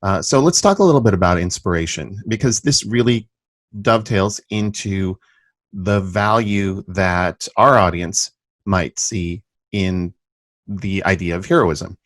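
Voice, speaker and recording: A man hosting an interview podcast, speaking formally and deliberately in halting phrases. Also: low voice, no echo. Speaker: podcast host. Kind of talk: measured interview talk.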